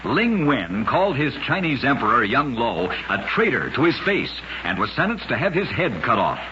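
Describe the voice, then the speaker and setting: An old lo-fi radio recording with slight noise carries a man telling scripted tales of curiosities. His voice is bright and forceful, with a dramatic, measured announcer's delivery. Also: medium pitch, very animated. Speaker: radio show narrator.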